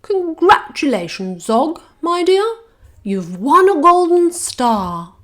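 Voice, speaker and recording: A woman voicing a bossy female dragon schoolteacher who gives orders in a loud, high voice. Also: sing-song, slow, full character voice. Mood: commanding.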